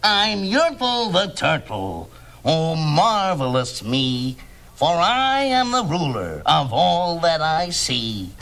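A man's voice: a little high, big pitch swings, slow, creaky, fairly bright tone, exaggerated voice. A man voicing a pompous, boastful turtle king who bellows orders in a storybook reading. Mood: smug boasting.

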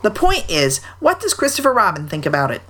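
A woman's voice: mid-range voice, huge pitch swings, a little fast, bright, forceful tone, pitched above the performer's own voice, full character voice. A woman voicing a fussy, bossy male rabbit in a storybook.

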